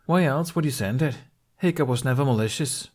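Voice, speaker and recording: A man's voice: mid-range voice, brisk, darkish tone, pitched above the performer's own voice, natural voice. Man acting an insistent Viking, voice pushed up. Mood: pleading, insistent. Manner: insistent, emphatic. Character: earnest middle-aged male Viking, loyally pleading, defiant.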